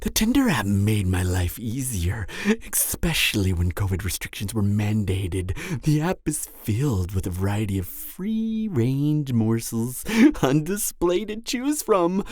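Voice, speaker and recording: A woman's voice: rumbling low, darkish tone, dry recording, clean studio sound, exaggerated voice. A woman playing a gleeful, mocking cannibal serial killer in a theatrical audio roleplay, taunting the listener. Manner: theatrical, taunting, frequent cackles and breathy whispers.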